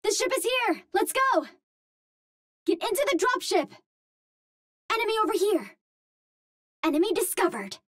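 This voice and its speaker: A cute young female Martian explorer for a video game. Her voice is extremely high and girlish, with lively melodic swings. She speaks at an unhurried pace, choosing formal, precise words with earnest politeness. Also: dry recording, clean studio sound, exaggerated voice. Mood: alert, businesslike. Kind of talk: video game hero callouts.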